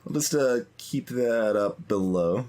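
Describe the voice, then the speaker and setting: A man playing through a video game, musing aloud and halting with fillers. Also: medium pitch, warm tone. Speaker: game streamer. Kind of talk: voiced video game playthrough.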